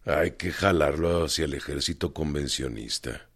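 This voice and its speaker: An actor playing a gruff, commanding Mexican revolutionary general in a radio drama, with a gravelly voice and a Mexican accent. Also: male voice, very deep voice, moderate pace, heavily acted. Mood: matter-of-fact. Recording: music behind the voice.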